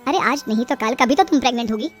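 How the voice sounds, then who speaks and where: A woman's voice: on the high side, fast, natural voice. A woman voicing a middle-aged mother-in-law in a story, coaxing and plaintive as she schemes. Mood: exasperated. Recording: music behind the voice.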